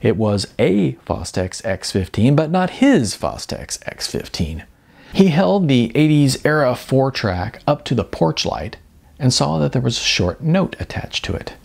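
A middle-aged man reading a story aloud as an expressive audiobook narrator. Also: medium pitch, measured pace, vocal fry. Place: bright furnished room indoors.

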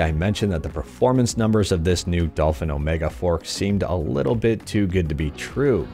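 A man in his thirties hosting a scripted tech news video from a small room at home on a boom-arm studio mic, upbeat with jokey sign-offs. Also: darkish tone. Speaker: emulation news host.

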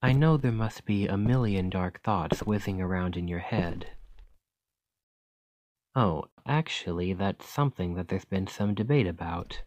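A man playing an earnest, chatty scholar in an ASMR roleplay, speaking gently and hesitantly as he rambles and interrupts himself. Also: deep voice, unhurried, slight background noise, low-quality recording. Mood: musing, rambling. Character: earnest, rambling male scholar gently reassuring a captive creature.

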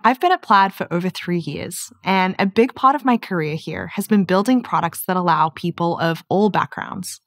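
A woman in her thirties from New Zealand with a softened accent, giving a clear, upbeat scripted keynote presentation. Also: fairly low pitch, normal speed, hardly any pauses, dry recording, studio quality. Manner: clear, steady, upbeat delivery. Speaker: product manager presenting. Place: studio set with curtains.

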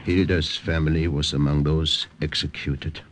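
An actor playing a hearty older Dutch uncle with an emphatic, exclamatory delivery in an old-time radio drama. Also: male voice, rumbling low, flat delivery, a little slow. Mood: somber. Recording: vintage radio drama recording.

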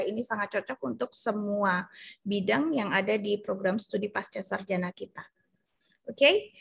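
Indonesian-accented woman hosting a webinar, kinda high voice, lots of uhs. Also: fairly bright tone. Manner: halting, with many uhs. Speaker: event moderator. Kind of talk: formal introduction of a speaker. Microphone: video call.

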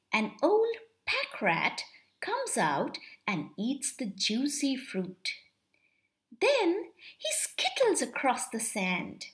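A young woman reads a children's picture book aloud expressively and deliberately, drawing out words. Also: high-pitched. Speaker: storybook reader for children.

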